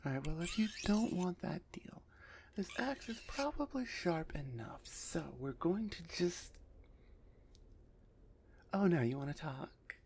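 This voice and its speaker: A woman voicing a gruff female minotaur executioner in an ASMR roleplay, husky and often half-whispered, impatient and sardonic with her captive. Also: lowish voice, lively intonation, a little slow, a little mellow, a little hiss or hum. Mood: taunting.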